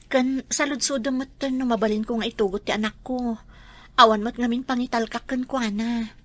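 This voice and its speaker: A woman's voice: a little high, steady tone, normal speed, a bit bright, no echo. A woman playing a poor, humble, pleading young mother in an Ilocano radio drama. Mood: weary, anxious.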